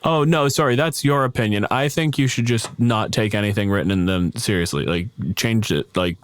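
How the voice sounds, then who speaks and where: A man's voice: fairly low pitch, moderate pace, smooth, no room sound, clean studio sound. A man talking casually and emphatically about a tabletop game, opinionated and freely profane. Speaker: podcast host.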